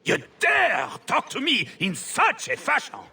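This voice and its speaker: Casting: haughty, hot-headed game baron, high-pitched and wildly swooping, blustering with indignation over music. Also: male voice, huge pitch swings, measured pace, creaky, sharp tone, husky, heavily acted. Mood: furious. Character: pompous, hot-tempered, insulting Orlesian baron. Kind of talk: video game cutscene acting.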